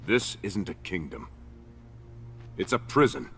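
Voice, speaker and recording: An actor playing an earnest, righteous soldier hero in a video game, with a husky voice. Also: male voice, deep voice, big pitch swings, a little slow, fairly bright tone, soft background music, exaggerated voice. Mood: stern, indignant. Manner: earnest, challenging. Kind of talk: video game cutscene acting.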